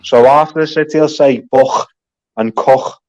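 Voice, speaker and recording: A man's voice: mid-range voice, normal speed, darkish tone, muffled recording. A Scouse man chatting casually with a mid-range voice. He rambles, restarts often and swears without a second thought. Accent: Liverpool (Scouse). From England. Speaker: guest. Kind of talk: casual chat.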